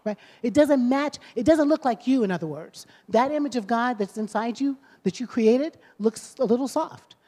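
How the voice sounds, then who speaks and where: A woman's voice: fairly high pitch, expressive, normal speed, hardly any pauses, mellow. A woman pastor hosting a conversation at a service, speaking with rambling, preacherly emphasis. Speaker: pastor and host. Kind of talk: sermon conversation. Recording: long hall reverb.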